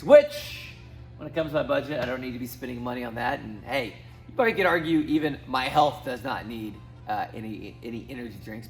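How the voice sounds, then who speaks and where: A man in his thirties from Southwest Missouri, talking casually to camera as a finance YouTuber, rambling with many ums and restarts. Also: mid-range voice. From Southwest Missouri, USA. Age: 30s. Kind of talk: casual talking-head vlog.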